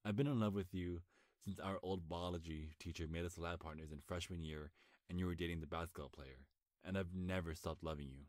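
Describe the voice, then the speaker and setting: A young man playing a nervous, lovestruck best friend in an intimate ASMR roleplay, speaking earnestly with a halting stammer. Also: deep voice, fairly even intonation, a little mellow, no echo, clean studio sound, lightly acted. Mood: pleading, lovestruck.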